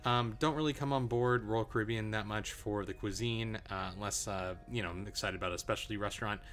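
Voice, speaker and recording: A young man in a relaxed, chatty travel review, talking casually with frequent "uh" and "um" fillers. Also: fairly low pitch, a little fast. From Arizona, USA. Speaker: travel vlogger.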